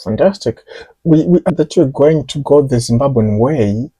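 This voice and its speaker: Mellow, husky male podcast host. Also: medium pitch, expressive, normal speed, dry recording. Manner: hesitant, with fillers and repeated words. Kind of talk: casual interview questions.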